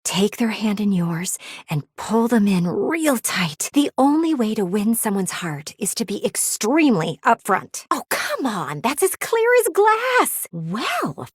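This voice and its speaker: An actress voicing a pushy, blunt talking window in a video game, with bossy, dramatic emphasis. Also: female voice, a little high, breathy edge, no echo, studio quality, heavily acted. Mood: condescending, insistent.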